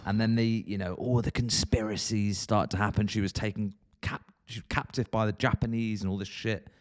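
Jokey man, low voice. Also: fairly even intonation. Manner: jokey, rambling, quick to laugh. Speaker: podcast co-host. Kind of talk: casual podcast chat.

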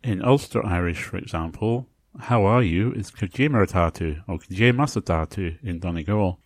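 A man hosting a podcast, explaining in a lecture style with measured, segmented phrasing. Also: deep voice, no room sound. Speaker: podcast host. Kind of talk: explanatory lecture narration on language.

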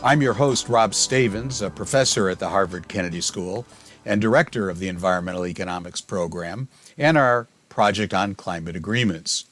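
A middle-aged man with a fairly low voice hosts an academic podcast. He opens with a measured, scripted introduction and then moves into easy conversational questions with his guest. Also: fairly bright tone, faint music behind. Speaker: podcast host, professor. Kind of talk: formal podcast interview.

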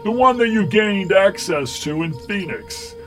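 A man's voice: high-pitched, animated, unhurried, fairly bright tone, faint music behind, voice pushed up. A man voicing a smug, cruel cult tyrant in an audiobook, speaking in a pompous, commanding and mockingly formal way. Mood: furious, threatening. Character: menacing male cult tyrant, smugly cruel, imperious. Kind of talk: theatrical audiobook villain.

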